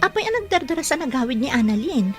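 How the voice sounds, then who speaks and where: A woman's voice: sits high, very animated, fast, over music. A woman playing a kindly young daughter and elder sister in a radio drama, with an Ilocano accent. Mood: worried.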